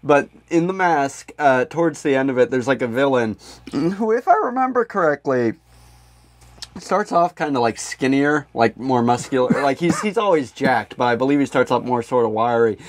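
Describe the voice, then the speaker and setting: A man's voice: mid-range voice, animated, normal speed, no room sound. Rambling male comedy podcaster. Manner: rambling, jokey. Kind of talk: casual, profane banter.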